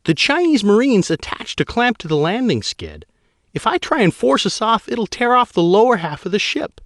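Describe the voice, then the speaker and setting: A man voicing a nervous young woman shuttle pilot in a raised, strained falsetto. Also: very animated, moderate pace, dry recording, clean studio sound, lightly acted. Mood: insistent. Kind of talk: audiobook character voice.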